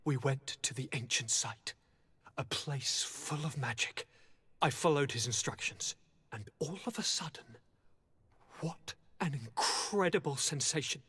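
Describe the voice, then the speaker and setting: An actor playing a cheeky, blithe young highborn gentleman in a fantasy game, with a breathy voice and wry, courtly, theatrical manner. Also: a little slow. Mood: animated recounting. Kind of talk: dramatic fantasy game acting.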